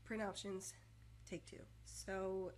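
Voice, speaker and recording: A woman narrating a scripted software tutorial, stuttering with long pauses and repetitions and over-enunciating every word. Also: mid-range voice, flat delivery, slight background noise.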